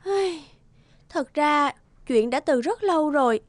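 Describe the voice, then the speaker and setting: Woman as a high-voiced gentle village maiden. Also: expressive, slow pace, no room sound. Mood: shy.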